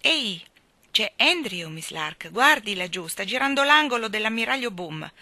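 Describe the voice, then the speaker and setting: A woman plays a helpful young boy from a children's story. The voice is bright and lively, eagerly calling out. The recording is dry. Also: medium pitch, normal speed, dry recording, pitched above the performer's own voice. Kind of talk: children's storybook character acting.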